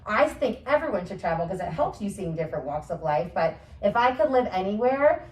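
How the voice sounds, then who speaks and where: A woman's voice: mid-range voice, sing-song, a little fast. A young woman from New Jersey, a guest in a casual interview, who talks in an earnest, reflective way. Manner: reflective, earnest, often answers with "right". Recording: echoey room, mic at a distance.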